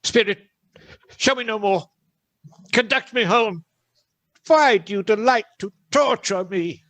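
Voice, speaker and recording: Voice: medium pitch, a little slow, a bit bright, no room sound, close to an everyday voice. A performer playing a tormented elderly male miser in a theatrical radio drama. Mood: anguished pleading.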